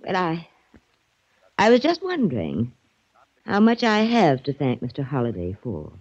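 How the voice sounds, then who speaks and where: An actress playing a nervous, guilty woman in an old radio drama, speaking hesitantly with a breathy voice. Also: low voice, wildly swooping pitch, unhurried, a little mellow, exaggerated voice. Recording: dull lo-fi 1940s radio recording.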